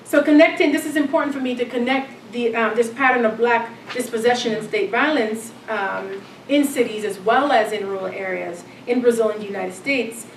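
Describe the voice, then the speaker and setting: A middle-aged woman from Jamaica giving an academic lecture, reading in a measured way with frequent ums. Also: fairly high pitch, echoey room, far from the mic, slight background noise, low-quality recording. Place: lecture hall.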